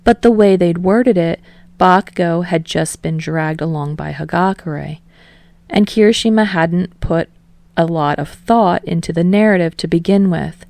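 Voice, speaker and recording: A woman's voice: on the low side, measured pace, gravelly, darkish tone, no room sound. A woman reading a story aloud as scripted audiobook narration. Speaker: audiobook reader of fan fiction.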